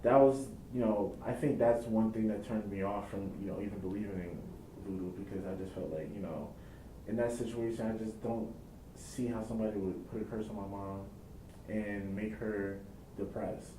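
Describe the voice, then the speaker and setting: A man's voice: steady tone, normal speed. A young man giving reflective, casual interview answers in loose, run-on thoughts. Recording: echoey room, mic at a distance, faint rumble.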